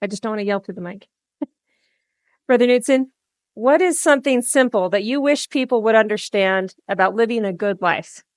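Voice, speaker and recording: Smooth-voiced woman moderating a panel. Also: mid-range voice, no room sound, studio quality. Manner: friendly, brisk, poses questions clearly.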